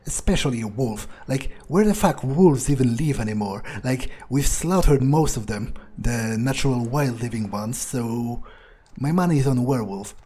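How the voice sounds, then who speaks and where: A man's voice: mid-range voice, expressive, moderate pace, mellow, husky. A man improvising in-character video game commentary, thinking aloud in a musing, hesitant way and swearing freely. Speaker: let's player role-playing in character.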